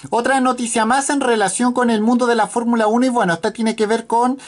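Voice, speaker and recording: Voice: medium pitch, steady tone, brisk. A host reading out motorsport news in a casual way, with many fillers and restarts. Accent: Spanish-speaking. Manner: hesitant, frequent fillers and restarts.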